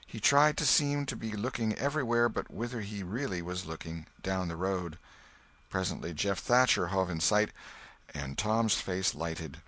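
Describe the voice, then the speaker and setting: A man reading a story aloud in an expressive, varied narrating style. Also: low-pitched, moderate pace, dry recording.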